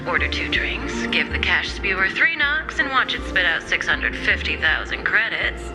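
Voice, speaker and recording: A woman's voice: fairly low pitch, big pitch swings, moderate pace, rough recording. An actress playing a poised, mysterious female agent in a video game, composed and playfully teasing. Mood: leisurely, teasing. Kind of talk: scripted video game voice acting. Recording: very loud music under the voice. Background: game music.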